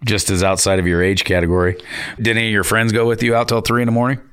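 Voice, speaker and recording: Forties male co-host, lowish voice, dry and easygoing. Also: flat delivery, fast talker, fairly bright tone, clean tone. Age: 40s. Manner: laid-back, dry teasing. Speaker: podcast co-host. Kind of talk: casual chat.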